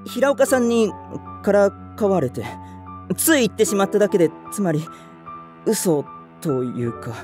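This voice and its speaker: A man's voice: high voice, sing-song, measured pace, a little mellow, faint music behind. An actor playing a timid, over-considerate young male office worker in a voice drama, hesitant and prone to stammering. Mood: panicked, flustered. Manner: hesitant, stammering when flustered. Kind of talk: Japanese voice drama, inner narration and dialogue.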